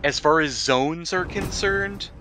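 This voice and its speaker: A man's voice: on the high side, takes it slowly, background noise. A man running a tabletop game as game master, explaining things in a conversational way. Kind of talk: narrating and refereeing a tabletop game. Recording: music bed under the voice. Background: prominent background music.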